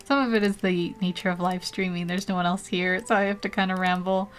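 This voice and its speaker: A woman's voice: fairly low pitch, fairly even intonation, brisk, slight background noise. A young woman chatting casually on a live stream while teaching an art program, rambling and laughing often.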